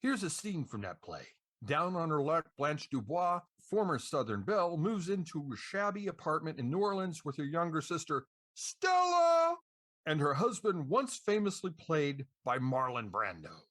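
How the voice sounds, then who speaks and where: A man's voice: a little high, very animated, normal speed, fairly bright tone. A man co-hosting a podcast, reading scripted introductions to dramatic scenes. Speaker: podcast co-host.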